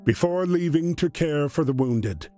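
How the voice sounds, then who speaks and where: Slow, measured male storyteller. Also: a little high, lively intonation, dark tone, soft background music. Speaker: narrator. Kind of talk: scripted storytelling narration of a war video game.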